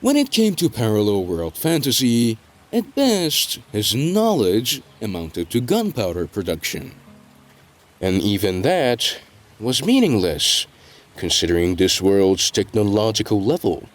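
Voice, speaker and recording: A man reading scripted dramatic narration in a measured storytelling delivery, with deliberate pauses. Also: on the low side, big pitch swings.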